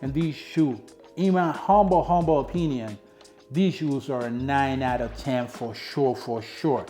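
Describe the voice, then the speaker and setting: A man's voice: mid-range voice, measured pace, dark tone, faint music behind. A young man from the Dominican Republic, a native Spanish speaker with a Dominican accent, reviewing sneakers in a casual talk to camera and repeating his points for emphasis.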